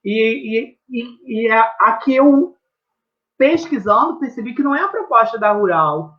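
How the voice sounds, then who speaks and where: A man's voice: very high-pitched, animated, measured pace, highs cut off. A man with a Brazilian accent, a teacher explaining his research in conversation, reflective and emphatic, often adding tag questions.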